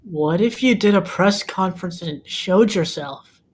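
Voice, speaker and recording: A woman's voice: medium pitch, a little slow, breathy edge. A woman playing a nervous, eager, bewildered newcomer in a comedy table read, hesitant and stammering. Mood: earnest.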